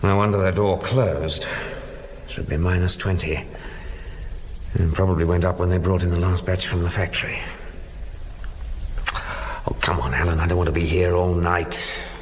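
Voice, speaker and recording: An actor playing a grumbling middle-aged British factory boss in a radio drama, muttering in a clipped, matter-of-fact way. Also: male voice, low voice, steady tone, normal speed, long pauses, a little hiss or hum, natural voice.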